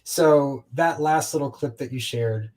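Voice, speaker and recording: A man hosting a conversational interview, speaking in a measured, articulate and reflective way. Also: medium pitch, darkish tone. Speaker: host, interviewer.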